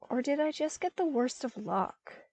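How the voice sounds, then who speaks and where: A woman voicing an earnest, cheeky young male apprentice with an informal, questioning, expressive manner. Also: high voice, unhurried, dry recording, pitched above the performer's own voice. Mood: weary, exasperated.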